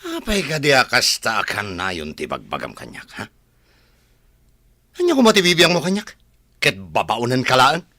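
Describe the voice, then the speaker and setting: A man's voice: mid-range voice, wildly swooping pitch, normal speed, long pauses, pushed and bright, no echo, heavily acted. A man playing an arrogant, scheming spoiled rich son in an Ilocano radio drama, haughty and domineering. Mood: irritated, haughty. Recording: dry studio, music underneath.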